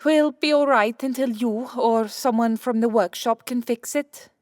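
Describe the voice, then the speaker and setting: A woman playing a brisk, clinical scientist wife in an audiobook drama, matter-of-fact and firm. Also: fairly high pitch, darkish tone, no echo, studio quality, natural voice. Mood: firm, controlled. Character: Santa's brisk, clinical scientist wife, immortal, coolly determined.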